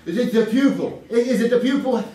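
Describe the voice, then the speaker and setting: A man's voice: very high-pitched, fast, mellow. A man playing an eager, folksy husband contestant in an old-time radio comedy, stammering and repeating himself. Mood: giddy, eager. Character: excitable, folksy, joke-loving husband contestant on a game show. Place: small theater stage before an audience. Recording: very echoey hall, distant, audience noise.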